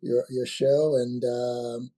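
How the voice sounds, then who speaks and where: A man around sixty, from Nigeria, talking as an interview guest and often pausing with ums and restarts. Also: medium pitch, level tone, dark tone. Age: about 60. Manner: hesitant, many ums and restarts. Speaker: guest, company founder. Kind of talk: casual interview talk.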